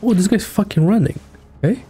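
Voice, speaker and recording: A man's voice: sits high, a little fast, warm tone, breathy edge. A man in his thirties giving casual, joking and sweary live reactions while streaming a game. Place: small indoor streaming room. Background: game music.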